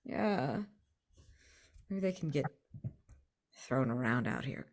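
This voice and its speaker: A woman's voice: rumbling low, expressive, slow pace, crowd noise. A woman playing a tabletop roleplaying game, improvising in character with a dry, exasperated manner, trailing off.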